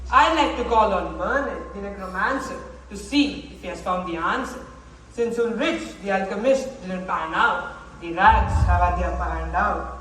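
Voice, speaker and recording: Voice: medium pitch, normal speed, sharp tone, slight background noise, close to an everyday voice. A young performer playing an officious, commanding town meeting chair in a formal rhyming stage play. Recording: very echoey hall, distant mic.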